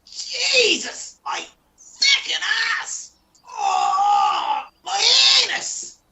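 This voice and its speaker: A performer in a comic radio play as an exasperated, injured Irish man, loud and wailing with indignation. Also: very high-pitched, big pitch swings, very slow, creaky, background noise, full character voice. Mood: pained, indignant.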